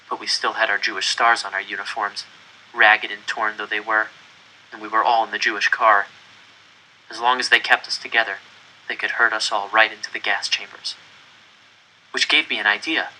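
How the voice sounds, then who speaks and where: Bright, forceful male storyteller. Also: on the low side, a little hiss or hum, poor audio. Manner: expressive, deliberate storytelling. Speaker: audiobook reader. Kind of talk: dramatic first-person story narration.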